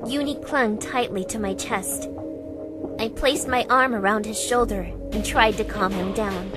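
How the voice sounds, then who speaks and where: A woman's voice: medium pitch, unhurried, over loud music, natural voice. A woman playing a composed, caring young woman who narrates her own story in a visual novel fan dub. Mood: tense, descriptive.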